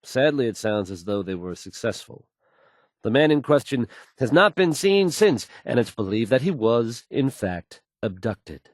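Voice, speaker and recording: An actor playing a confident radio DJ who reads post-apocalyptic news bulletins in an assured, measured, folksy announcer manner. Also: male voice, mid-range voice, big pitch swings, no room sound. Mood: measured, serious. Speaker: radio DJ and newsreader.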